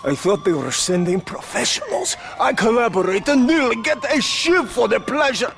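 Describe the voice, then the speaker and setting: A loud, exasperated prisoner. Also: male voice, very high voice, very animated, moderate pace, vocal fry, music in the background, heavily acted. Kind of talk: video game cutscene dialogue.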